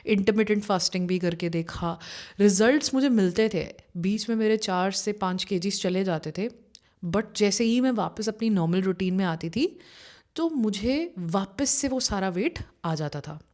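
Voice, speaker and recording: A woman's voice: mid-range voice, animated, moderate pace, a little mellow. A young adult woman, a manifestation coach, telling her own story in a chatty way, with fillers and English phrases mixed in. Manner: conversational, mixes Hindi with English phrases, many fillers like you know. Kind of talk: personal story told to camera.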